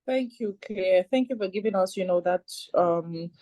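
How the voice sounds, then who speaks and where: A woman's voice: mid-range voice, normal speed, no room sound, muffled recording. A woman moderating an online meeting, handing over to speakers in an effusive, upbeat way. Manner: effusive, upbeat hand-offs.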